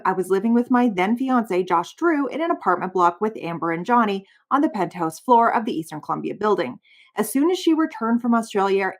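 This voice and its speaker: A woman doing a voiceover, reading a formal legal witness statement aloud. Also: mid-range voice. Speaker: voiceover reader.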